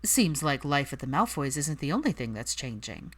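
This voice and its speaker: Woman voicing a composed, wry wizard gentleman, expressive intonation. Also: deep voice. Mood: wry, probing. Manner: smooth, measured, dry. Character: composed, wry, probing man of old wizard family. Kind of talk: acted audiobook dialogue.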